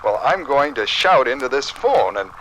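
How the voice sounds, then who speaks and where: An excitable American husband and amateur inventor in vintage radio style. He sounds bright and animated, fairly high for a man. The old recording carries some noise. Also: normal speed, low-quality recording. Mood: gleeful. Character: eager, excitable amateur telephone tinkerer, a husband. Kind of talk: 1950s radio acting.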